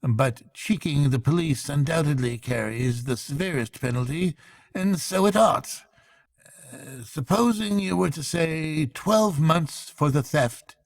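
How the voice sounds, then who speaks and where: A man's voice: fairly high pitch, vocal fry, no room sound, pitched above the performer's own voice, heavily acted. A man voicing a fussy male court clerk from a storybook, who reckons things up in a pedantic, matter-of-fact way.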